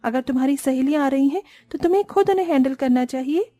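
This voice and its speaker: A woman's voice: sits high, quick pace, dark tone, no room sound, lightly acted. A woman voicing a humble but proud poor village sister-in-law, plaintive and earnest, in a cartoon story. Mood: indignant.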